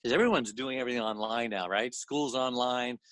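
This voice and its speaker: A man with a medium-pitched voice co-hosts an interview, recorded dry with no room sound. His questions come out as casual, chatty, informal talk. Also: fairly quick, a bit bright, no room sound. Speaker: radio co-host asking questions.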